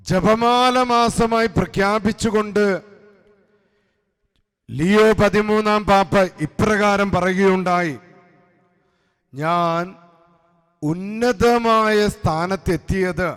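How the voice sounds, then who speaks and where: Guy with preaching, high voice, slow emphatic phrases, big church reverb. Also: a bit bright, smooth. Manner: emphatic, exhorting, phrase-by-phrase delivery with pauses. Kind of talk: devotional sermon. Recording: long echoing hall reverb.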